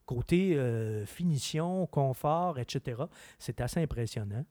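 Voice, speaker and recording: A man with a Québécois French accent, a car expert chatting on a radio show in an opinionated, animated way. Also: mid-range voice, measured pace, dry recording. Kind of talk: casual chat.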